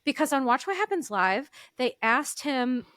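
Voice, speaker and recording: A woman with a loud, fairly high voice chats as a podcast co-host, animated and opinionated. Her voice sounds dry and close, with no room sound around it. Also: moderate pace, dry recording. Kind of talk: casual chat.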